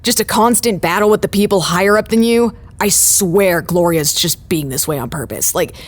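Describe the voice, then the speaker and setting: A woman's voice: lowish voice, moderate pace, lightly acted. A woman playing a stressed, exasperated acting site director in a naturalistic audio drama. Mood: exasperated, venting.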